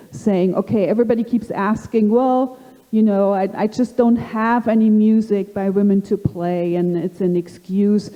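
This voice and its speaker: A middle-aged woman with a slight German accent hosting a live panel, halting and full of fillers. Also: medium pitch, mellow, highs cut off. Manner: halting, many fillers and restarts. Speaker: panel host. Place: conference hall. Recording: long hall reverb.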